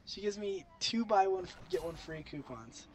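A man's voice: high-pitched, animated, normal speed, darkish tone. A teenage man with a cold, chatting and telling stories bluntly with lots of swearing as a gaming channel co-host. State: sick with a cold. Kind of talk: casual profane chat and storytelling over gameplay. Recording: clearly noisy home game recording.

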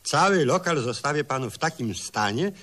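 A man's voice: medium pitch, expressive, normal speed, a bit bright, lightly acted. A man playing a meek, easily flustered older tenant in a comic radio play, muttering deferentially. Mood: polite, resolved. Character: meek, flustered, exasperated male tenant and pensioner.

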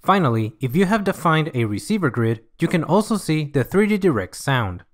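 A man reading scripted software tutorial narration in an instructional, measured way. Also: on the high side, big pitch swings.

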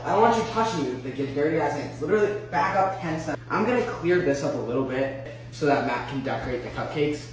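A man's voice: fairly high pitch, lively intonation, fast talker. A young man teasing and giving instructions in a home kitchen, picked up by a camera across the room. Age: young adult. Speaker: vlogger. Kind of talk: casual chat. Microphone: far camera. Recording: echoey kitchen from far off, background noise.

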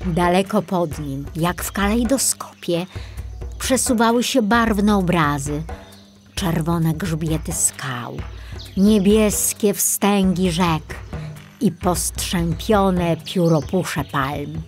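Female children's audiobook narrator, slightly low pitch, unhurried scripted delivery over music. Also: darkish tone.

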